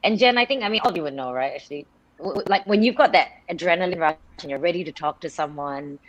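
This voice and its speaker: A woman's voice: lowish voice, quick pace, no room sound, poor audio. A woman from Singapore, a radio presenter, chatting as a guest on a live video call and telling stories with many restarts and laughs. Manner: chatty storyteller, restarts sentences, laughs often.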